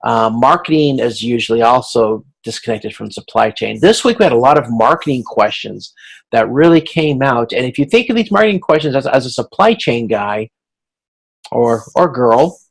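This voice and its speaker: A man gives informal class feedback as an instructor. His voice has heavy vocal fry and a gravelly grain, at a middling pitch. He explains things conversationally, in a halting way that starts and stops as he works through each point. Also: animated. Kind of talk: informal recorded lecture in a video conference.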